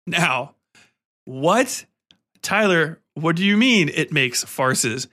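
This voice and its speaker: A man hosting a podcast, giving casual, rambling film commentary in an excitable, emphatic, sweary way. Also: fairly high pitch, very slow. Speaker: podcast host.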